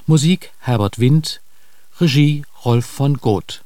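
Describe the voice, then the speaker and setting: Slow, dry-sounding male radio announcer. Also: medium pitch, dark tone, dry recording. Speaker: radio announcer reading credits. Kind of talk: formal scripted radio announcement.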